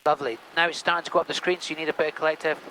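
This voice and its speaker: A man's voice: a little high, fairly even intonation, rapid-fire, bright, forceful tone. A man, a helicopter instructor giving encouraging, repeated short instructions over a headset during a flight. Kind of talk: coaching during a flight lesson. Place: inside a small helicopter in flight. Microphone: aviation headset. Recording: noisy helicopter cockpit. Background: engine and vehicle noise.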